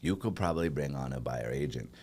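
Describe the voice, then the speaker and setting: A man in his forties with a deep voice, a real estate team leader talking as a podcast guest in a jovial, rambling way. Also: animated, quick pace, a little mellow, a little hiss or hum. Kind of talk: casual podcast conversation.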